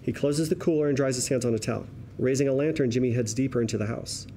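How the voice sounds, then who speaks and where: A man reading scripted stage directions as narrator at a table read, in a measured, descriptive storytelling manner. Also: mid-range voice, warm tone. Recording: faint room noise.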